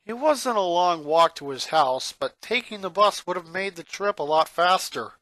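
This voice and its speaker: A man's voice: high voice, animated, normal speed, sharp tone, dry recording. A man reading a fan fiction story aloud as scripted narration.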